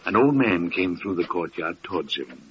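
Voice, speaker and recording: A man's voice: sits low, sing-song, normal speed. A man narrating a classic radio drama in a solemn, scripted storytelling manner. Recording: dull, noisy old radio recording.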